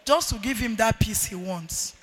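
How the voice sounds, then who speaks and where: A middle-aged woman with a Nigerian accent and a loud, forceful voice, preaching a marriage sermon emphatically and repeating key phrases. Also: a little high, moderate pace, husky. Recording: echoey hall with long reverb.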